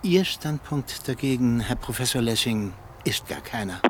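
A man's voice: mid-range voice, animated, normal speed, background noise, close to an everyday voice. An actor as a cold, menacing authoritarian man. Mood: cold menace. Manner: cold, haughty, threatening. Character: menacing, authoritarian male nationalist official. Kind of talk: radio drama.

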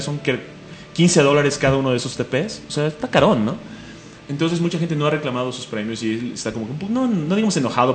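A man's voice: mid-range voice, roomy sound. A man with a Mexican accent hosting a comic radio show, joking and enthusiastic. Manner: fast, joking, enthusiastic, laughs often. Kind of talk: casual radio chat.

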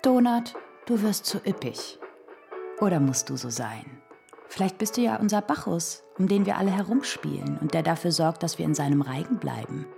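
A woman's voice: on the low side, lively intonation, moderate pace, gravelly, dark tone, faint music behind. An actress playing a lazy, sensual, childlike young woman in a radio play, languid and teasing. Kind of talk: German radio play acting.

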